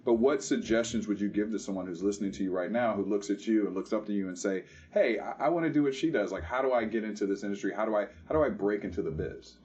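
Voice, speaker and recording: A man with a very low voice hosts a casual interview. He asks easygoing questions, laughs along with his guest and keeps up a stream of short agreeing replies. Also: darkish tone, highs cut off. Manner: encouraging, quick to laugh, short backchannels. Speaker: show host interviewer.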